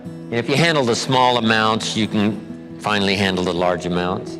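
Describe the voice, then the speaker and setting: A man's voice: fairly low pitch, steady tone, moderate pace. A man answering a question with a personal anecdote, laughing easily. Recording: hall reverb with music.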